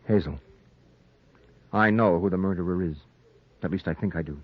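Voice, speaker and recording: A man's voice: low-pitched, normal speed, no room sound. An actor playing a driven newspaper reporter who narrates his own noir story with intense, dramatic delivery in a 1940s radio play. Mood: excited, determined. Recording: muffled 1940s radio recording with music.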